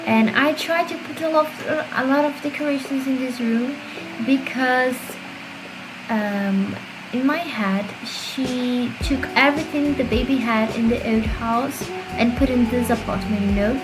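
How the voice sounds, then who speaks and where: A woman's voice: on the high side, unhurried, rough recording. Chatty woman, native Portuguese speaker. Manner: chatty, rambling, self-critical. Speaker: game building creator. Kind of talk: casual voice-over commentary. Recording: voice-over with clear background music. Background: background music.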